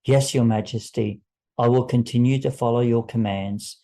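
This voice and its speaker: A man's voice: mid-range voice, steady tone, normal speed, dark tone, lightly acted. A man voicing a servile male attendant in an audio story, deferential, earnest and obsequious. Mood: obedient.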